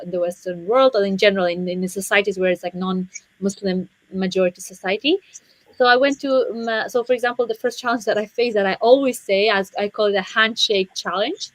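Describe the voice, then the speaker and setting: A woman, telling her personal story as a guest speaker in a halting way, often repeating words. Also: medium pitch, no echo, poor audio. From Afghanistan and Central Asia. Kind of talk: personal story in a panel interview.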